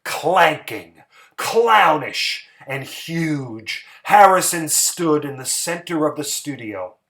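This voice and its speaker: A man giving a dramatic story narration, theatrical and emphatic, with pauses for effect. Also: on the high side, expressive, fairly bright tone.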